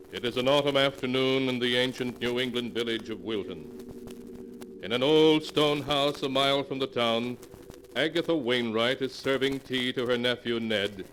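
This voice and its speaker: An actor playing an ominous storyteller, narrating a horror anthology in a portentous, theatrical 1940s radio style. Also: male voice, medium pitch, fairly bright tone, lightly acted. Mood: solemn. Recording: noisy old 1940s radio recording.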